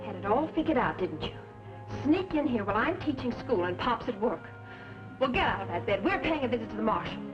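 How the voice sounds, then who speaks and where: A woman acts a spirited young schoolteacher in a radio western. Her raised voice is feisty and righteous. The old recording is dull, with clear music behind her. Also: fairly high pitch, animated, a little fast, lightly acted. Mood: defiant.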